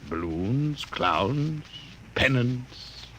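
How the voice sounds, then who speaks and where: A man's voice: mid-range voice, expressive, very slow, fairly bright tone. An actor playing a mysterious, coolly sinister client in a mid-century radio drama, speaking formally and precisely, savoring his words. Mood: commanding. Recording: noisy old radio broadcast recording.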